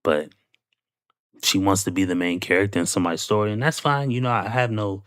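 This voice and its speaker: Reaction host, male, very low voice, dry close sound, musing at length. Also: fairly even intonation, a bit bright, clear, resonant tone, dry recording. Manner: reflective, conversational, drawn-out musing. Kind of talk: reaction commentary.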